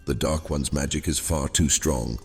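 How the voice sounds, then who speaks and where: A man playing an old, fading captive djinn in a fantasy audio drama, courteous and wheedling, with silky theatrical delivery and hesitant pauses. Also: extremely low pitch, a little mellow, slightly rough, full character voice. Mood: coaxing. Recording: long cavernous reverb, music underneath. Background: music.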